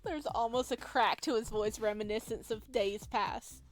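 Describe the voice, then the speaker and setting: A woman's voice: a little high, moderate pace, faint music behind. A woman reading a visual novel's narration aloud as a let's play narrator.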